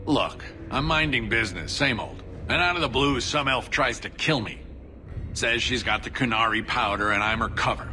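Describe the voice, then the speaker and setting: A man's voice: medium pitch, normal speed, sharp tone, a little hiss or hum. A man playing an exasperated, shifty dwarf merchant in a video game, grumbling with sarcasm. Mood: aggrieved. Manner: sardonic grumbling, colourful folksy exclamations. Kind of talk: video game cutscene dialogue.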